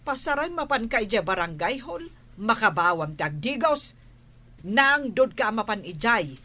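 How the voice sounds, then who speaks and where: A woman's voice: a little high, sing-song, measured pace, pushed and bright, heavily acted. A woman with an Ilocano accent acting a scolding village woman in a radio comedy drama. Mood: nagging. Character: scolding, nagging village woman, the captain's household.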